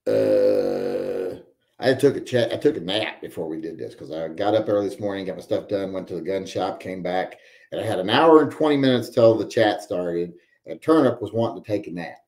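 A man's voice: deep voice, lively intonation, normal speed, creaky, darkish tone, echoey room. A man in his forties hosting a live stream from home, reading viewer chat and rambling with wry joking asides. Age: 40s. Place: home room with guns on the wall.

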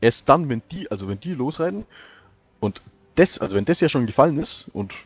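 A man playing a tabletop game, thinking out loud about tactics with many restarts and fillers. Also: mid-range voice, big pitch swings, fairly quick, breathy edge. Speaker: player. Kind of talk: strategy discussion in a tabletop game.

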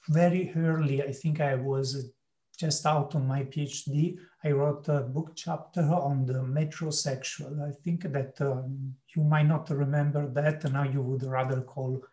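A man with a Sicilian Italian accent giving a keynote lecture over a video call, speaking in an unhurried, halting way with many fillers. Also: medium pitch, warm tone, reverberant. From Italy, Sicily. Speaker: keynote speaker, marketing professor.

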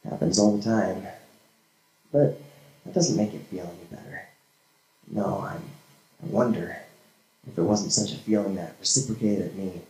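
A young man performing spoken word poetry on a small church stage with a handheld mic, dramatic and swinging from hushed to shouted. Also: on the low side. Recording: echoey room from a far camera.

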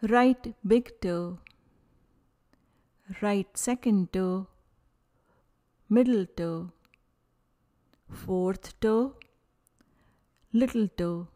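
A woman leading a guided yoga nidra meditation, speaking calmly and soothingly in short phrases with long pauses. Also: mid-range voice.

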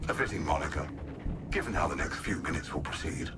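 A man's voice: a bass voice, expressive, fast talker, very noisy. A man voicing an arrogant alien supervillain mastermind in a video game, cold, theatrical, formal and taunting. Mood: taunting menace. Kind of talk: video game villain acting. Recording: loud game music and effects.

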